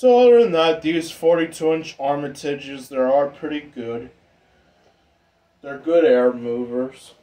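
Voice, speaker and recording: A man giving a casual, informal hobbyist demonstration in an unhurried, rambling way. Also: on the high side, dark tone. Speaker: ceiling fan hobbyist vlogger. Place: indoor room with exposed pipes and ductwork.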